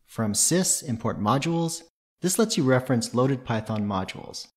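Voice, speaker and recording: A man's voice: medium pitch, lively intonation, normal speed. Methodical man narrating a technical tutorial. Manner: methodical, matter-of-fact. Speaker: tutorial presenter.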